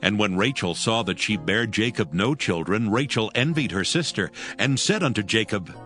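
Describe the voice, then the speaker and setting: Low-voiced male narrator reading scripture solemnly, music clearly behind. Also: lively intonation, moderate pace, bright, forceful tone. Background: background music.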